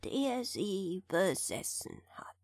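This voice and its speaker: A woman voicing a grave old village man from a fairy tale, slow, hushed and ominous. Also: mid-range voice, animated, no room sound, in a whisper, studio quality. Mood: grave warning.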